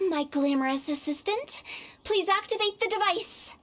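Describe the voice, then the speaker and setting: A woman's voice: very high voice, animated, moderate pace, fairly bright tone. A woman voicing a playful, mischievous young female funeral parlor director, with a teasing, theatrical manner, for a video game. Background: game music.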